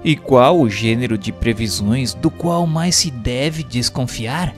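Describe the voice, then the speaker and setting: A man's voice: medium pitch, slow, lightly acted. A man with a Brazilian accent playing an earnest student who puts formal, studied questions in a scripted radio drama. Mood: earnest, inquiring. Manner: formal, inquiring. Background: music.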